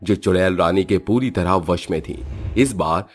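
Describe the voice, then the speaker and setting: A man's voice: low-pitched, a little slow, husky. A man narrating a scripted horror story.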